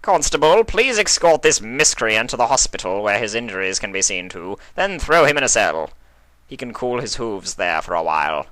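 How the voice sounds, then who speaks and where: A man voicing a stately, wealthy gentleman unicorn, formal, courteous and a little pompous, in a story reading. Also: lowish voice, sing-song, normal speed, no room sound, voice pushed up, poor audio. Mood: commanding.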